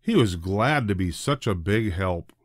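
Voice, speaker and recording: An older man narrating a children's storybook in a deliberate, expressive way. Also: low-pitched, dry recording, clean studio sound. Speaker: storybook reader.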